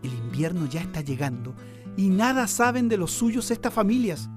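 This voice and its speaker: An actor with a Chilean accent playing an earnest, deferential newspaper reporter who explains things respectfully in a radio drama. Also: male voice, high voice, moderate pace, music in the background, close to an everyday voice. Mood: concerned, explanatory. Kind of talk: Spanish-language radio drama acting.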